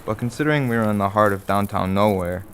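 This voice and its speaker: A middle-aged man playing a wry, measured father who narrates a strange roadside tale in a radio play. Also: lowish voice, fairly bright tone, a little hiss or hum, close to an everyday voice. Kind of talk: scripted first-person radio play narration. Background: music.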